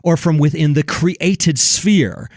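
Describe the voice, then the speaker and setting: A man's voice: fairly high pitch, takes it slowly, darkish tone, no echo, clean studio sound. A man hosting a religious discussion, giving opinionated commentary in an emphatic, preacherly way that leans on key words.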